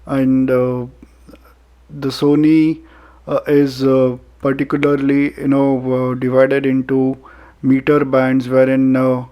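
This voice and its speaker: Slow, flat-toned Indian man reviewing gear. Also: mid-range voice, lo-fi sound. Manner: halting, hesitant delivery with frequent filler pauses. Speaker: product reviewer. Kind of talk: casual unboxing narration.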